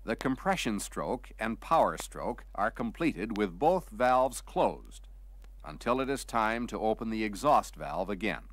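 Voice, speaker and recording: A man reading a scripted vintage training film narration in a measured, clearly articulated, explanatory manner. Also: lowish voice, big pitch swings, no room sound.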